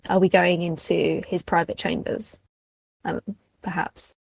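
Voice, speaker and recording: A woman's voice: on the low side, level tone, a little slow, gravelly, husky, dry recording, poor audio. A woman setting scenes as a tabletop game player, tentative and questioning.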